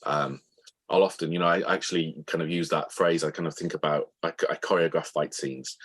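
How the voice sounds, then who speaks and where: A man speaking as a guest author in an interview, explaining things in a thoughtful, halting, conversational way. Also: a bass voice, fairly even intonation, a bit bright, husky.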